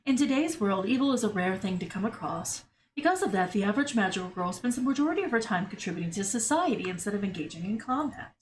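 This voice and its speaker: A woman's voice: mid-range voice, animated, quick pace, a little hiss or hum, close to an everyday voice. A woman voicing a proud, formal female history teacher at a school for magical girls, lecturing her class. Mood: earnest lecturing. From Brazil, as the figure.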